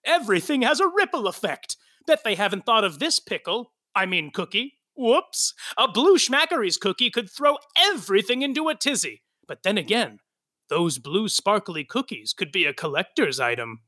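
A woman's voice: mid-range voice, sing-song, moderate pace, pushed and bright. A woman narrating in a wry, musing way. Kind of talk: scripted narration.

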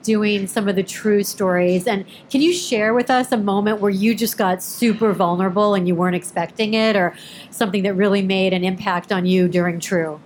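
A woman moderating a panel, asking questions in a measured way. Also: medium pitch, fairly even intonation, a little mellow, slight background noise.

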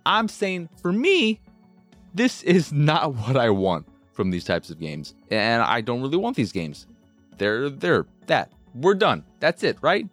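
A man's voice: medium pitch, wildly swooping pitch, unhurried, a bit bright, faint music behind. A man hosting a video game show with casual, sweary, rambling commentary and an exasperated, self-deprecating manner.

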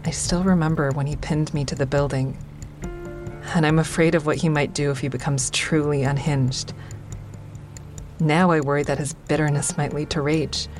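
A low-pitched female narrator in a fiction podcast, played by an actress. She is a wry, wary comic who speaks candidly, as if confiding, with dry bluntness. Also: close to an everyday voice. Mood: bitter, wary. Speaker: lead character and narrator. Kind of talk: first-person audio drama narration.

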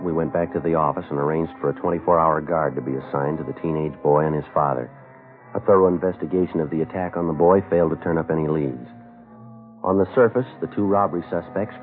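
An actor playing a stoic, businesslike police sergeant in a 1950s radio drama, speaking in a terse, clipped, matter-of-fact way. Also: male voice, very deep voice, fairly even intonation, quick pace. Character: stoic, businesslike male police sergeant narrating his case. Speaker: narrator and lead detective. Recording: muffled old radio broadcast, music.

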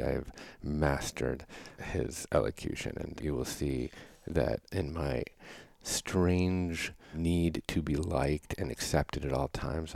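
A man's voice: very deep voice, gravelly, a little mellow, no room sound. A man hosting a podcast, talking thoughtfully in a solo reflection and asking rhetorical questions. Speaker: podcast host.

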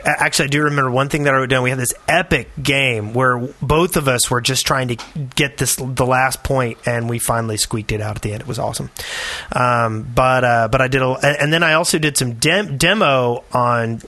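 A middle-aged man co-hosting a gaming podcast, chatting casually and telling stories in an animated way. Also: mid-range voice, brisk, hardly any pauses, dry recording. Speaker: podcast co-host.

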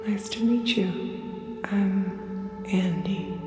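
A slow, dreamy, alluring stranger. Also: female voice, mid-range voice, fairly even intonation. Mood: dreamy, flirtatious. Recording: long echoey reverb, music behind.

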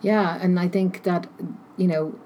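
A woman answering interview questions thoughtfully, pausing often as she searches for her words. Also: on the low side, steady tone, dark tone, rough recording. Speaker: interview guest, technology researcher. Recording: noticeable background noise.

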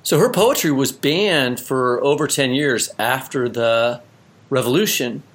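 A man's voice: medium pitch, unhurried, fairly bright tone. A man hosting a conversational podcast interview in a casual way, putting questions and paraphrasing. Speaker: podcast host.

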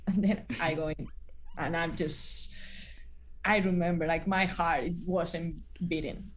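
A woman in her thirties is recounting her college playing days in a relaxed interview. Her voice sits fairly low, and she often pauses, restarts and fills gaps with "like" and "um". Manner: halting, with many fillers and restarts. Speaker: guest, former college athlete. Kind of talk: casual interview storytelling.

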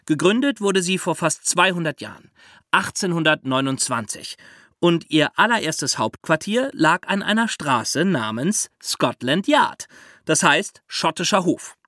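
A man's voice: a little high, expressive, unhurried, bright, forceful tone, no room sound, clean studio sound. A man hosting a podcast, explaining things conversationally and addressing young listeners directly. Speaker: podcast host.

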